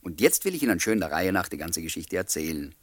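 A man's voice: low-pitched, very animated, quick pace, no room sound. An actor playing a genial writer in his late twenties, narrating a radio drama and courteously confiding in his listeners. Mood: wry, genial. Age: 20s. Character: chatty, genial 29-year-old writer showing visitors around.

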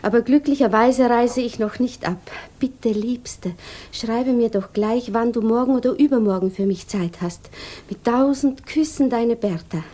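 An actress playing an anxious, lovesick young woman in a 1950s German radio drama, with a breathy voice that often drops to a whisper. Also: on the high side, a little slow, dark tone. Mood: composed pleading.

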